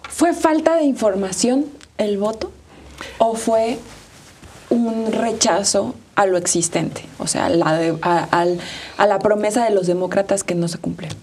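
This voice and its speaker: A woman's voice: medium pitch, unhurried, a little mellow, slight background noise. A woman in her thirties with a Mexican accent, moderating a panel interview as a TV host in a studio. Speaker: TV talk show host. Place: small dark quiet studio, seated at table.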